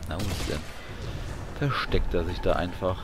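A man's voice: sits low, moderate pace. A man giving casual commentary as he plays a video game. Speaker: gamer, video host. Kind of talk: casual let's play commentary. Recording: clear game noise behind voice. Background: shooter game sound effects and ambience.

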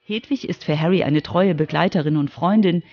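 A woman's voice: lowish voice, brisk, highs cut off. A woman reporter reading scripted narration for a children's radio feature.

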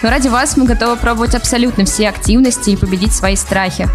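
A woman's voice: fairly high pitch, fast. A woman in her twenties reading a scripted podcast trailer as a co-host. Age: 20s. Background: music.

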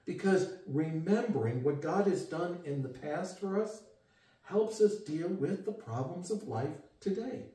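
A man's voice: on the high side, expressive, a little slow, far from the mic. Older man preaching in an echoey room. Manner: earnest, gesturing, rises for emphasis and drops quiet. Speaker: pastor. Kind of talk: sermon. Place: home living room with guitars and a TV. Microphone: far camera.

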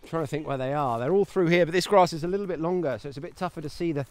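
A man's voice: on the high side, racing, a little mellow. A man in his thirties vlogging outdoors while walking a grassy paddock, chatty and enthusiastic as he points things out. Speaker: homesteading channel host. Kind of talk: walking vlog, mushroom foraging tips. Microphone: phone held at arm's length.

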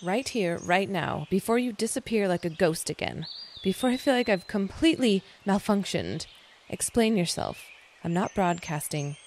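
A woman's voice: mid-range voice, lively intonation, moderate pace, darkish tone, a little hiss or hum, close to an everyday voice. A woman playing a frustrated, insistent female android in an audio drama, terse and demanding.

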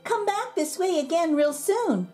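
A woman voicing an eager, friendly little girl in a storybook, calling out warmly. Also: very high-pitched, lively intonation, measured pace, soft background music, pitched above the performer's own voice. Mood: fond. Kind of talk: storybook character voice.

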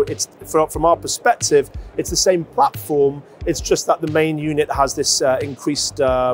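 A man in his forties explaining a product in a measured way, as a company representative interviewed on a lapel mic. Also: a little high. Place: busy trade show exhibition hall.

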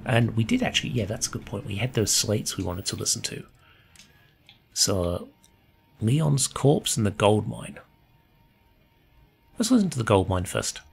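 A man's voice: lowish voice, very animated, a little slow, creaky, long pauses, husky. A man, chatting casually while playing a game and losing his voice. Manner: chatty, reads notes aloud. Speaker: gamer commentator. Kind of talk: casual let's play commentary. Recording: gameplay video with game music.

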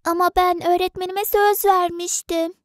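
A performer voicing a sweet, emotional little girl in an animated cartoon dub, with a childlike, earnest delivery. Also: extremely high pitch, normal speed. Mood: pleading.

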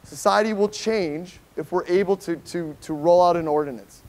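A middle-aged American man, a professor giving an informal keynote lecture, conversational and emphatic, often checking in with a quick "okay?". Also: high voice, darkish tone. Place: lecture hall, speaking to a seated audience.

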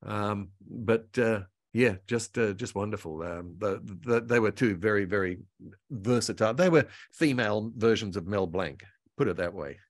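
An older man reminiscing casually as a guest, in a halting, meandering, enthusiastic way. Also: lowish voice, no echo, studio quality. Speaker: guest voice actor and author. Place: indoor room at home, on a video call. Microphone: video call.

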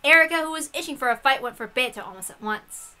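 Bright, high-pitched, animated woman reading aloud. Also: quick pace, clean tone, soft background music. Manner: excitable, irreverent, sweary. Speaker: host reading a visual novel aloud. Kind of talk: dramatic narration with casual joking asides.

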